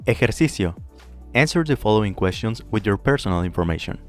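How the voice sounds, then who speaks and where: A man with a fairly low voice leads a Spanish lesson, saying each phrase carefully and pausing so the learner can repeat. Faint background music plays under his voice. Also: lively intonation. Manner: clear, slow model pronunciation with pauses to repeat. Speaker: Spanish teacher. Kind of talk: language lesson with drills.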